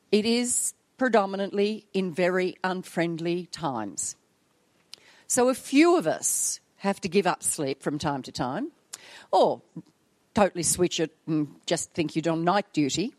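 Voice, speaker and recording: A woman's voice: mid-range voice, no echo. An older Australian woman giving a conference address, wry and emphatic, with self-mocking jokes. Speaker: conference speaker. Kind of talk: public address.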